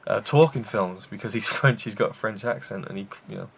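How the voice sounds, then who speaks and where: A man's voice: lowish voice, brisk, vocal fry, a little hiss or hum. A man hosting a casual film review show, rambling with enthusiasm and many fillers and restarts.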